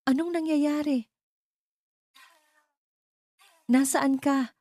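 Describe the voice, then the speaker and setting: A woman's voice: sits high, fairly even intonation, a little slow, dark tone, pitched above the performer's own voice. A woman voicing an alarmed, protective young male best friend with an urgent, commanding manner in an audio drama.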